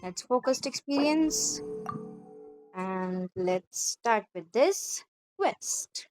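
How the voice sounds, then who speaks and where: A woman's voice: a little high, expressive, extremely slow, darkish tone. A woman hosting a gaming video, talking informally and directly to viewers in casual commentary. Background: faint game music.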